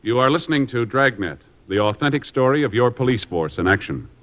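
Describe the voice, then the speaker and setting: A man's voice: mid-range voice, animated, a little fast, a bit bright, muffled recording, soft background music. A man announcing a radio drama and commercial with a formal, emphatic delivery. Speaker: show announcer.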